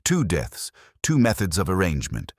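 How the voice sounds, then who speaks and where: Audiobook sleuth, played by a male narrator: extremely low male voice, intense, commanding, deliberate and rhetorical, dry close sound. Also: wildly swooping pitch, breathy edge, no echo, exaggerated voice. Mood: intent. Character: brilliant, intense male detective, commanding and cryptic.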